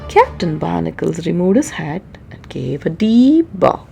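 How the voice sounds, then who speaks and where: A woman's voice: fairly low pitch, sing-song, unhurried, vocal fry, dark tone. A woman reading a children's story aloud in a dramatic, explanatory way, drawing out words and adding asides for young listeners. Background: music.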